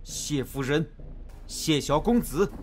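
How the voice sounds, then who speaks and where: A man playing a cold, loyal imperial minister in a Chinese period audio drama, formal, deliberate and coolly menacing. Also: on the high side, lively intonation, darkish tone, soft background music. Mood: cold, controlled.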